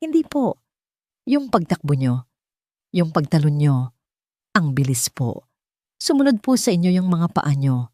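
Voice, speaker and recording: A woman voicing a young boy in an audiobook, curious and yearning, speaking softly and politely with hesitant wonder. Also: sits low, sing-song, a little slow, long pauses, dry recording, clean studio sound. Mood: awed, curious. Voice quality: soft, faint. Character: awestruck four-year-old boy, curious and yearning.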